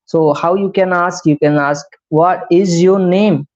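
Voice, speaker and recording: A man's voice: high voice, steady tone, a little slow, a little mellow. Indian-accented young man teaching English. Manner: repetitive, explains step by step with frequent "right?". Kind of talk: live-streamed beginner English lesson. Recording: slightly dull lo-fi live stream audio.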